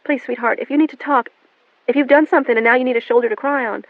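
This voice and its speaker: A frantic, guilt-ridden mother pleads and stammers, as a woman puts her on for an audiobook. She pushes her pitch up well above the narration. The sound is thin and phone-like, with no room around it. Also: normal speed, dry recording, close to an everyday voice. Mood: pleading. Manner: pleading, rising and breathless. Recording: narrow phone-like band, dry.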